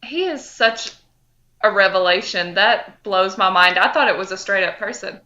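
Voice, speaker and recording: A woman's voice: medium pitch, moderate pace, pushed and bright, dull audio. A woman co-hosting a podcast in casual fan chat. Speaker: podcast co-host.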